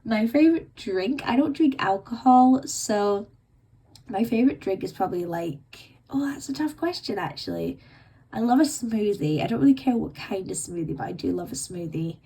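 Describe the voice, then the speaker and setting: A woman in her late twenties hosting her own podcast, rambling and self-mocking in casual chat, her voice croaky and bunged up from illness. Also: medium pitch, very animated, normal speed, dark tone. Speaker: podcast host. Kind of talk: casual chatty monologue.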